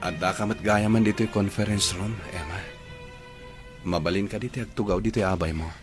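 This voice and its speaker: An actor with an Ilocano accent playing a lovesick young student, pleading earnestly in a radio drama. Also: male voice, lowish voice, brisk, over music, close to an everyday voice. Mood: apologetic, hopeful.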